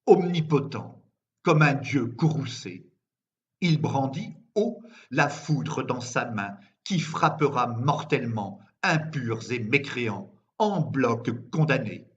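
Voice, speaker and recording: A man reciting poetry in a solemn, declamatory manner, phrasing deliberately with pauses, as a poet reading his own work. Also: mid-range voice, expressive, dull audio. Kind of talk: dramatic poetry recitation in French.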